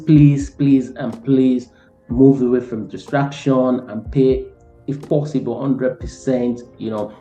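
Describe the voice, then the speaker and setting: A man hosting a motivational interview, talking earnestly, repeating his pleas and filling pauses with "you know". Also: mid-range voice, warm tone, roomy sound, dull audio. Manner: earnest, pleading, repeats words. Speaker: host.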